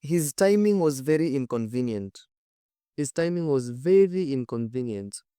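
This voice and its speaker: A man's voice: mid-range voice, animated, normal speed, dark tone, clean tone, no room sound. Kenyan man telling a story, dry studio sound. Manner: conversational, emphatic, repeats phrases for effect. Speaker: storytelling host. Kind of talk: casual dramatic storytelling to camera.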